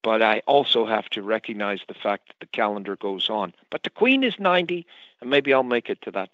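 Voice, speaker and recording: A man's voice: lowish voice, lively intonation, brisk, dry recording. Man guest on dull lo-fi audio. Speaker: interview guest. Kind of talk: interview.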